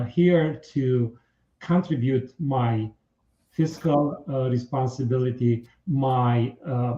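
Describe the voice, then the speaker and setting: Earnest Romanian-accented man, halting and measured, warm tone, muffled echoey studio. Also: medium pitch. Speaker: political candidate. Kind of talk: radio interview.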